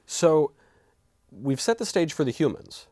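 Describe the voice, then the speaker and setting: A man hosting a conversational book discussion, inquisitive and a little halting as he frames his points. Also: a little high, sing-song, darkish tone, no echo, studio quality. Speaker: interview host.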